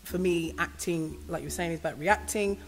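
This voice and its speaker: A woman's voice: fairly low pitch, fairly quick, poor audio. A woman hosting a casual panel chat, with a powerful, carrying voice, reflective and thinking aloud. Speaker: host.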